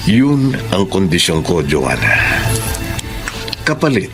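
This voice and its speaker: A man playing an earnest, lovesick lead in a Filipino audio melodrama, speaking deliberately and dramatically. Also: low voice, big pitch swings, over music, full character voice. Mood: insistent, lovesick. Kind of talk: melodramatic Filipino radio-style drama acting.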